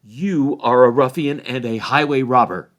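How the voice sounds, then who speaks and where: A man's voice: medium pitch, normal speed, pitched above the performer's own voice, close to an everyday voice. A man voicing a bold, indignant young hobbit warrior in a storybook reading. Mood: threatening. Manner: assertive, scolding.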